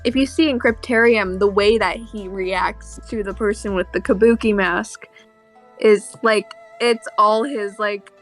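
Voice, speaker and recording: A woman's voice: mid-range voice, steady tone, measured pace, faint music behind. A woman co-hosting a podcast, talking in a casual fan discussion. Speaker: podcast co-host.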